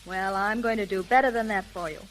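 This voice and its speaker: A woman's voice: medium pitch, brisk, natural voice. An actress playing an earnest, resolute young woman who runs a detective agency, in 1930s American radio drama style. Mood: firm, commanding. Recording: sizzling, noisy old 1930s radio recording.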